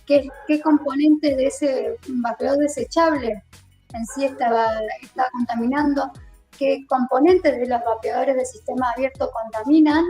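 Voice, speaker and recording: A woman's voice: sits high, steady tone, normal speed, warm tone, faint music behind, rough recording. Hesitant Argentine woman from Córdoba. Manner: hesitant, many fillers and repeated words. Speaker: guest. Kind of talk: online interview.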